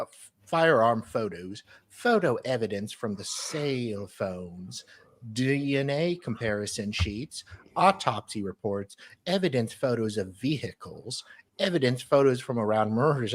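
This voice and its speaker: A mid-pitched voice reading emails aloud for a casual panel show. The delivery is wry and playful, slipping in joking asides between the formal passages. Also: lively intonation, a little slow, husky, dry recording.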